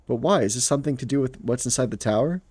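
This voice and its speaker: A man's voice: medium pitch, fast, darkish tone, husky, no echo, natural voice. A man voicing a defiant, hot-tempered young woman in a fantasy story game, with an indignant, confrontational manner. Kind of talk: reading a story game aloud.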